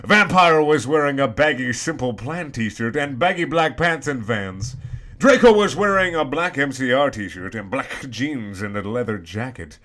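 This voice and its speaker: A man giving a comedic dramatic reading of a story in a halting, mock-serious way. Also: medium pitch, expressive, a bit bright. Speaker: reader.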